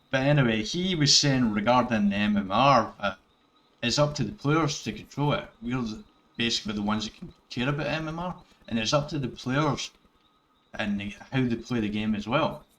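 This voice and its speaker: A man's voice: medium pitch, expressive, normal speed, fairly bright tone. A middle-aged Scottish man giving rambling casual commentary over gameplay, correcting himself and chuckling as he goes. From Scotland. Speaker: gaming YouTuber.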